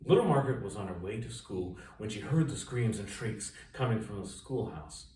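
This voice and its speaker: Male storybook reader, very lively delivery, distant mic with room echo. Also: fairly low pitch, moderate pace. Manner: expressive, dramatic emphasis and sound-effect words. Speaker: author reading own story aloud. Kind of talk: children's storybook read-aloud. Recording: roomy echo, distant mic, faint noise.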